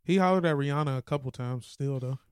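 A man's voice: medium pitch, quick pace, clean tone, no room sound, studio quality. A man co-hosting a podcast, chatting casually and joking about sports. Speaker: podcast co-host. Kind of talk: casual comedic sports chat.

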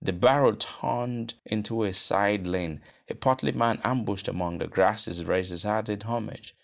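A man with a Nigerian accent gives an expressive, deliberate reading of literary fiction. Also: fairly low pitch, vocal fry. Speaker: guest reader, novelist. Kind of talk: expressive literary audiobook narration.

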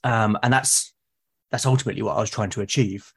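A man's voice: lowish voice, fast, vocal fry, slightly rough. A man from Britain chatting as a podcast guest, thoughtful and tentative, repeating words as he thinks. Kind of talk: casual reflective conversation.

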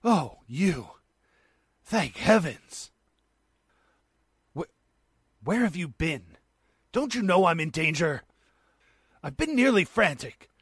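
Jumpy, husky, high-strung hunted man. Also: no room sound, clean studio sound, heavily acted. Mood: terrified, pleading. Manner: jumpy, panicky, talking to himself in halting bursts. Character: nervous, hunted man trapped alone, fearing murder. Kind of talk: 1940s radio drama acting.

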